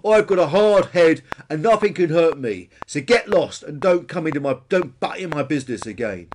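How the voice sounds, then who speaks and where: A man voicing a brash, thuggish dinosaur in a children's story, boastful, blunt and loud. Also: on the high side, lively intonation, normal speed, slight background noise, voice pushed up, lightly acted. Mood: dismissive. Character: brash bullying bonehead dinosaur, thuggish, boastful and thick-skulled.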